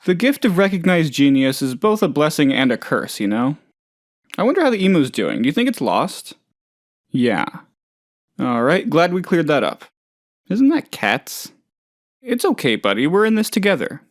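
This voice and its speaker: A man's voice: on the high side, sing-song, normal speed, long pauses, no room sound, studio quality. A man playing a hapless, trusting, lonely oddball in a video game, talking in a rambling, hesitant, good-natured way. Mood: casual musing, then earnest, naive. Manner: rambling, hesitant, naive, chatty. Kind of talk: video game character dialogue.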